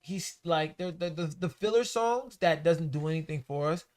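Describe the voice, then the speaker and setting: A man's voice: on the high side, moderate pace, darkish tone. A man co-hosting a casual podcast, talking bluntly and emphatically with lots of profane slang. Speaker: podcast co-host. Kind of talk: casual chat about rap and culture.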